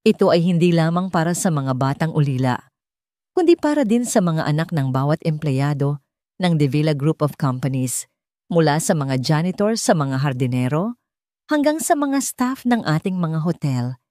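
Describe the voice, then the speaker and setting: A woman voicing a composed, earnest wealthy man giving a calm, controlled formal speech in an audio drama. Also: low-pitched, moderate pace, dry recording, clean studio sound. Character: composed, earnest wealthy husband addressing gala guests.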